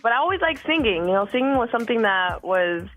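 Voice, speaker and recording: A woman in her thirties, from Costa Rica, talking casually as a music guest, relaxed, with many fillers and repeated words. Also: a little high, quick pace, rough recording. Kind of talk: casual interview chat.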